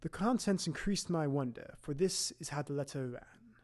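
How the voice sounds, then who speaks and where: A man's voice: fairly high pitch, animated, moderate pace, a little mellow, lightly acted. A young man playing a proper, puzzled Victorian physician who recounts his story formally in an audio drama. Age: young adult.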